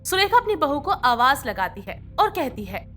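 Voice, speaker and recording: A woman's voice: sits high, sing-song, moderate pace, sharp tone, soft background music. A woman reading scripted narration for a moral bedtime story as its storyteller.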